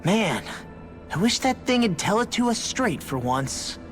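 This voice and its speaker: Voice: mid-range voice, sing-song, unhurried, faint music behind. An actor playing a plain-spoken, skeptical video game companion with a casual, slangy manner. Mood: exasperated. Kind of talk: scripted video game voice acting.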